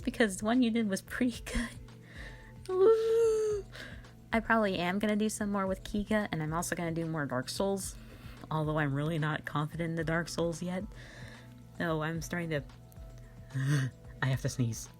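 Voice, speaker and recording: Woman, low register, informal and playful Q&A host, rambling and self-deprecating, soft music underneath. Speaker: ASMR and audio roleplay voice creator. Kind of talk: casual Q&A, reading and answering fan questions. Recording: faint music behind the voice. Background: faint background music.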